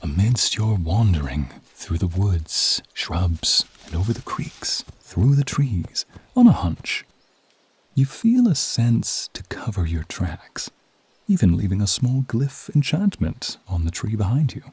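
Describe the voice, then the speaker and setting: A man delivers slow, dramatic ASMR storytelling in a low, very dark and mellow voice. His hushed narration often sinks into a whisper. Noise and ambience sit faintly but clearly behind him. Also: big pitch swings. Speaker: narrator of an audio roleplay.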